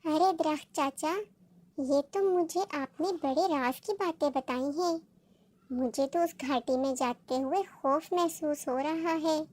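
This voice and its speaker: A woman's voice: sits high, a little slow, a little mellow, no room sound. A woman voicing a young, innocent little female bird in a cartoon, polite and childlike in manner. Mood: uneasy. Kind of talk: Hindi-Urdu cartoon dialogue.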